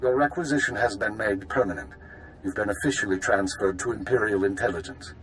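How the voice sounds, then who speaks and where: A man's voice: lowish voice, brisk, fairly bright tone, natural voice, rough recording. A man voicing a curt, authoritative intelligence chief in a video game, clipped and businesslike. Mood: stern, commanding. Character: curt, authoritative spymaster man commanding agents.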